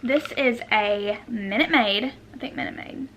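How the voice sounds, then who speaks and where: A woman's voice: a little high, measured pace, fairly bright tone, a little hiss or hum. A woman, chatting casually and self-deprecatingly as she answers questions in a vlog at home. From Arkansas, United States. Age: 20s. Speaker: vlogger. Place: room at home.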